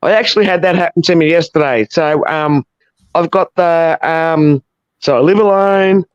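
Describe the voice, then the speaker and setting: An Australian woman with a deep voice calling into a livestream, telling a story bluntly and with plenty of swearing. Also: normal speed, clear, resonant tone, dry recording, highs cut off. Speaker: viewer caller. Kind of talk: casual chat.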